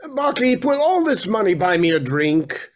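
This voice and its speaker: A man's voice: sits high, big pitch swings, normal speed. A man acting a harried, henpecked lord and father in a fairy-tale stage play, flustered and theatrical. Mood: pleading.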